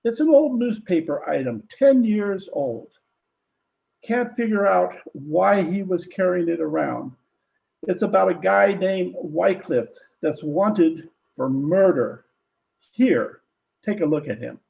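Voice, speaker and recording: A dogged police sergeant from a radio mystery, played by a man, talks in a high, gravelly voice. He is slow and folksy, polite but full of hesitant questions. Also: expressive, a little mellow, slight background noise, exaggerated voice, rough recording. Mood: casual, probing. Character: plain-spoken, dogged police detective sergeant. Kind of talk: old-time radio drama acting.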